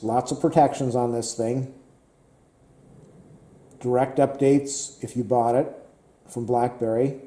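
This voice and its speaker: Man, slightly hoarse, very dark mellow tone, rambling casual talk, echoey room. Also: medium pitch, steady tone, takes it slowly, long pauses. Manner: rambling, repetitive, many fillers. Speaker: tech vlogger.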